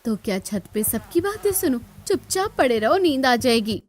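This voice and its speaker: A woman voicing a sharp-tongued, defiant young village daughter-in-law who grumbles and gossips in a cartoon. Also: sits high, sing-song, moderate pace. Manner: complaining, gossipy.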